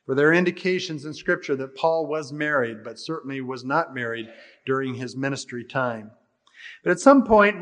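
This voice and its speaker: An older American man preaching an expository sermon in a measured, deliberate way, stressing phrases and letting the endings fall away. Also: fairly high pitch, fairly bright tone. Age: older, about 60. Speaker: pastor. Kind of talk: expository sermon with scripture readings.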